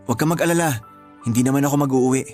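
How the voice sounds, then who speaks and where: An earnest, placating Filipino man, voiced by a male actor, as the consoling friend in a radio drama. His pitch is average for a man. Light music runs beneath him. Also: racing, a little mellow, natural voice. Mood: apologetic. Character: apologetic, consoling male friend who sees spirits. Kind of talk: Tagalog radio drama acting.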